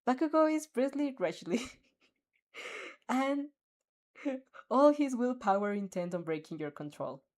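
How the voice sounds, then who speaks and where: A young woman reading a second-person fanfic aloud, expressive and giggling at her own slips. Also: on the high side, measured pace, pauses often. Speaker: fanfic reader.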